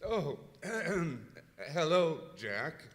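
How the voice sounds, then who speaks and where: A man playing a genial, hesitant orchestra manager, speaking politely and haltingly in old-time radio comedy style. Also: on the high side, big pitch swings, a bit bright, exaggerated voice. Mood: mild, chatty. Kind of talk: old-time radio comedy acting, live stage revue. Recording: echoey hall, live stage.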